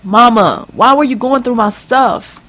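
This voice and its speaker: A frightened young woman, as a narrator reads her lines pleads in a raised, strained voice, shaken and close to tears. The plea rises high above the reader's own tone. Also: a little slow, fairly bright tone. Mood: pleading.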